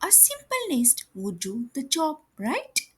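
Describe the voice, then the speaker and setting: Woman playing a curious, high-pitched little sparrow. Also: wildly swooping pitch, slow pace, husky, exaggerated voice. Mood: puzzled. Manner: chirpy, questioning. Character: curious young male sparrow.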